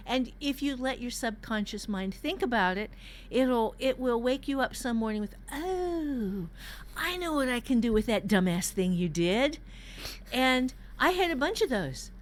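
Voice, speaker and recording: A woman's voice: fairly high pitch, animated, moderate pace. An older woman, a writing teacher, talking casually on a podcast in a candid, emphatic, salty way.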